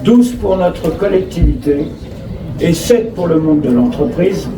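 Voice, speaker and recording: Male local official, French accent, high voice, formal public speech, noisy outdoor pickup. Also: expressive, unhurried. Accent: French from France. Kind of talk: inauguration speech, read from notes with ad-libbed asides. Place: outdoor school forecourt, public address. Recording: roomy echo, distant mic, clear background noise.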